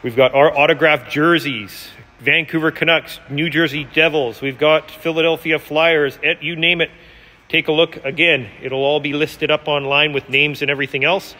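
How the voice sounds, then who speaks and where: A man with a fairly high, forceful voice talks off the cuff as he shows items around a big warehouse. He sounds like an enthusiastic auctioneer giving a casual preview tour. The room adds a long reverberation to his words. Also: steady tone, normal speed. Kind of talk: casual unscripted walkthrough narration. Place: large concrete-floored auction warehouse, indoors. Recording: reverberant large hall.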